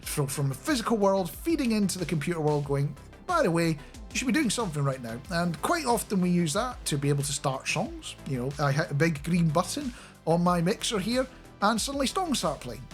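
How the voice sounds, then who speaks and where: A middle-aged man giving a casual, chatty and animated explainer into a close studio microphone. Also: high-pitched, brisk, faint music behind. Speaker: radio producer and software developer presenting a video. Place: small home studio indoors. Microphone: close studio microphone on a boom arm.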